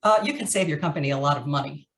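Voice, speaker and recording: A woman's voice: deep voice, racing. Heard from a distance in an echoey room, a woman acts a hard, vengeful female ex-convict from a radio noir. She talks with sardonic menace, as someone bent on settling scores. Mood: menacing, bitter. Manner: tough, sardonic, threatening. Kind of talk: old-time radio noir acting. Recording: roomy echo, distant from the microphone.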